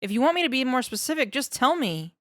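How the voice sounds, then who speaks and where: A woman's voice: high voice, sing-song, fast, a bit bright, no echo, studio quality, voice pushed up. A woman voicing a nervous male lab scientist who rambles and over-explains, eager to please. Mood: anxious pleading.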